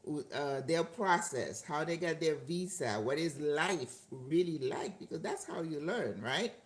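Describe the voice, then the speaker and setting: A woman's voice: low voice, animated, unhurried. An older woman hosting a channel, chatting casually with viewers while cooking at home. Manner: chatty, talks to viewers and laughs often. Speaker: channel host cooking live. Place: home kitchen. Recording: echoey kitchen with faint background noise.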